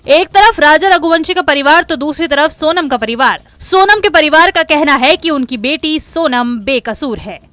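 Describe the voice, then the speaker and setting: A woman's voice: high-pitched, lively intonation, normal speed, hardly any pauses, sharp tone, no room sound. A woman narrating a scripted crime news report in a dramatic, emphatic manner.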